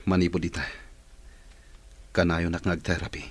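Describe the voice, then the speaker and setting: A man playing an earnest, determined patient working through therapy in a radio drama, speaking in a measured way. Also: low voice, level tone. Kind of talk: Ilocano radio drama acting. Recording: clearly noisy track with clicks.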